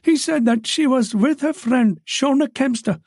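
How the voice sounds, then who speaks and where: A man's voice: very high-pitched, unhurried, a little mellow, no room sound, clean studio sound, pitched above the performer's own voice, heavily acted. A man voicing a frightened young woman who apologizes and pleads as she tells her story.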